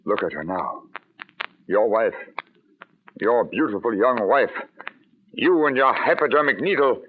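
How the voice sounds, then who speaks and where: An actor playing a vengeful, bitter killer, taunting and accusing in theatrical old radio drama style. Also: male voice, mid-range voice, animated, unhurried, breathy edge. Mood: cold, bitter. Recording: muffled phone-like old radio, faint noise.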